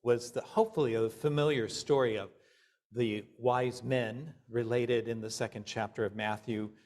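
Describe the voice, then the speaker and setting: Guy minister doing a sermon, medium pitch, measured phrasing, lets the pauses sit. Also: fairly even intonation, darkish tone.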